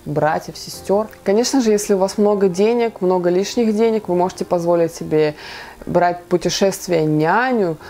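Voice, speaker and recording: A woman's voice: medium pitch, mellow. A young woman from Kazakhstan vlogging from her bedroom, reading viewer questions aloud and answering them in a chatty way. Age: young adult. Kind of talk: casual Q&A vlog. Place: small bedroom indoors.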